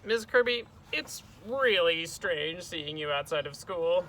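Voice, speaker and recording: A man's voice: a little high, expressive, slow, a bit bright, slight background noise, voice pushed up, rough recording. A man voicing a timid, polite young schoolboy who speaks hesitantly and awkwardly in a storybook. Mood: nervous.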